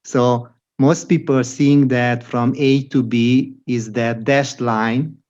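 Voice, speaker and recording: Slow-talking Hungarian-accented man. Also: mid-range voice, fairly even intonation. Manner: halting, restarts words, laughs softly. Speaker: podcast host. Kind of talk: casual interview chat. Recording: dull lo-fi audio.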